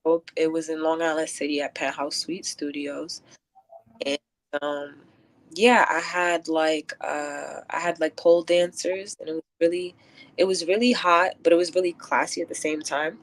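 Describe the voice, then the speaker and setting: A woman's voice: sits low, steady tone, normal speed, low-quality recording. A woman talking earnestly and reflectively as a guest in a casual conversational interview. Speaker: interview guest, event curator and poet.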